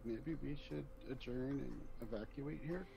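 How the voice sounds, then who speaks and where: A man's voice: medium pitch, unhurried, creaky, dark tone, highs cut off, a little hiss or hum. A man chatting casually as a player in a tabletop role-playing game. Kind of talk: casual role-play chat. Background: background music.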